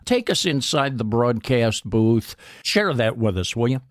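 A man hosting a radio sports program, asking measured, easygoing questions. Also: mid-range voice, lively intonation, dry recording, clean studio sound. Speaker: radio sports show host.

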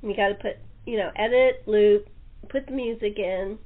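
A woman's voice: medium pitch, normal speed. A woman hosting a casual interview chat, talking in a chatty, easygoing way. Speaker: talk show host. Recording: tinny phone-like line.

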